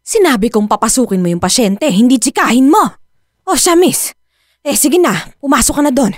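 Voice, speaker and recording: A woman's voice: sits high, animated, moderate pace, no echo, studio quality. A woman playing a stern, irritable mother and illegal abortionist in a radio drama, brusque, scolding and bossy.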